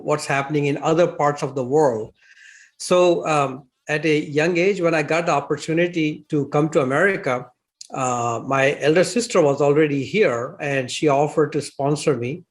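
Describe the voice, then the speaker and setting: A man in his sixties from India, telling his life story as an interview guest in a chatty way, with many fillers. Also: on the high side. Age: 60s. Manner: warm, chatty, with frequent fillers and laughs.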